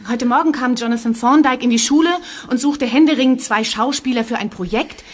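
An actress playing an earnest young acting student who explains things eagerly in a radio play. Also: female voice, on the high side, fairly quick, lightly acted.

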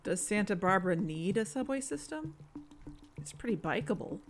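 A woman's voice: mid-range voice, lively intonation, normal speed, slight background noise, lightly acted. A woman playing a skeptical, frank podcast investigator in an audio drama, with a matter-of-fact, doubting manner.

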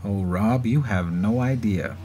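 A man's voice: on the low side, a little slow, warm tone, husky. A man co-hosting a podcast with scripted banter and historical storytelling. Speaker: podcast co-host. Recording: faint background noise and ambience.

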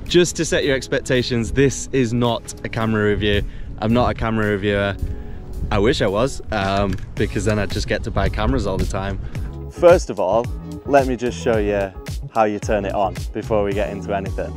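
A man's voice: lowish voice, brisk, over music. A young man vlogging outdoors on a rocky beach with a clip-on mic, eager and rambling, laughing at himself. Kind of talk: excited casual vlog. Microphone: small clip-on mic.

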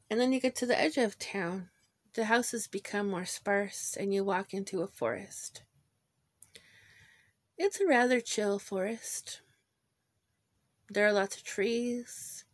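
A woman leading a guided visualization in a gentle, informal way, pausing between short phrases. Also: mid-range voice, dry recording. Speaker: guided meditation leader. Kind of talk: guided meditation, visualization narration.

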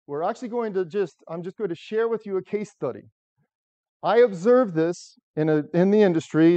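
A middle-aged man from the United States giving a talk in a lecture room without a microphone, loud and engaging, asking the audience questions. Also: a little high, dull audio. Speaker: software architect giving a talk. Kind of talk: conference talk to a live audience. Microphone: far camera, no microphone.

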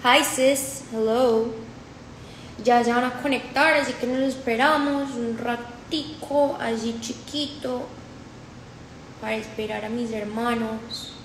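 A woman's voice: a little high, steady tone, takes it slowly, echoey room, a little hiss or hum, rough recording. Chatty paisa woman on a phone live. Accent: Colombian paisa. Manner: chatty, repetitive, mixes in English. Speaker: livestream host. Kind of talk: casual livestream chat, reading comments aloud. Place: room indoors. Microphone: phone held close.

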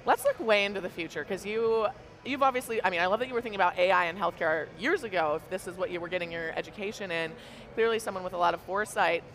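A woman's voice: on the low side, quick pace, low-quality recording. A middle-aged woman co-hosting an interview at a convention booth, enthusiastic and full of praise. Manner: enthusiastic, effusive, warm praise. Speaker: co-host. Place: large convention hall booth, indoors.

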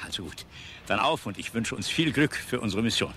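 Bossy professor, impatient, lively intonation, radio drama, clear street noise. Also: male voice, fairly low pitch, brisk. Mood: commanding. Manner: commanding, impatient. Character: brisk, bossy male professor on a mission. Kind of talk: radio play acting.

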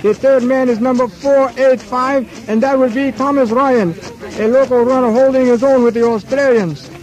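An actor playing a chatty, amazed field reporter covering a race in a radio drama. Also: male voice, extremely high pitch, fairly even intonation, normal speed, a little mellow, soft background music, poor audio. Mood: excited.

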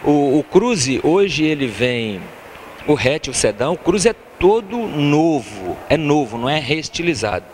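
A man's voice: on the high side, big pitch swings, a little slow, a little mellow, slight background noise. A middle-aged Brazilian man giving an informal promotional sales talk as a car dealership salesman. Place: large car showroom.

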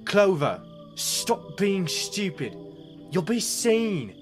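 A woman voicing a cautious, grumpy young male cat in an animated comic dub, speaking in a stern, sarcastic, exasperated way. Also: mid-range voice, very slow, faint music behind. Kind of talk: comic dub character acting.

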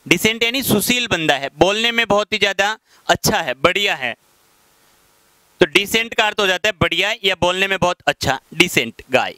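A man in his thirties with a Rajasthani accent, teaching English vocabulary in a classroom lecture and slowing down to read out English example sentences. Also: sits high, pushed and bright, no room sound, rough recording. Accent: Rajasthani Hindi. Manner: explains patiently, slows down to read English example sentences aloud. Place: classroom in front of a whiteboard. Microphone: headset mic.

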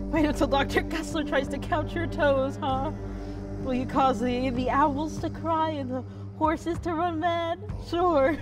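A woman's voice: high voice, recorded from a distance. A young woman acting a dramatic monologue as a wry, defiant woman in labour, breathless and pleading. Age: young adult. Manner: dramatic, breathless, with sardonic asides. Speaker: student actor. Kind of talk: theatrical monologue performance.

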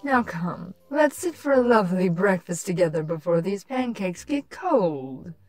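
A woman's voice: medium pitch, very animated, a little slow, no room sound, faint music behind. A woman voicing a doting, sultry female changeling queen who coos coyly at her beloved in a fan story reading. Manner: cooing, coy, affectionate. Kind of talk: dramatic fan fiction reading.

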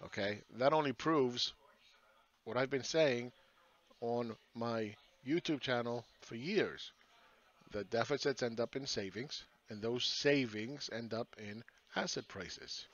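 A man's voice: mid-range voice, slow, fairly bright tone, muffled recording. A man hosting his own channel, ranting sarcastically over charts and firing rhetorical questions at critics.

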